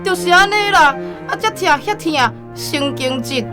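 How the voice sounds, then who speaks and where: A woman's voice: very high-pitched, animated, a little slow. An actress playing a worried mother who complains to a doctor about her sons, in a radio drama. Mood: complaining. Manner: complaining, insistent. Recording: music playing under the voice.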